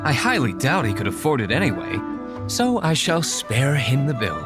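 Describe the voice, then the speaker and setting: A man voicing a cool, deadpan wealthy winery owner in a video game, over game music. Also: mid-range voice, normal speed, natural voice. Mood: dry. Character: stern wealthy winery owner, dry wit.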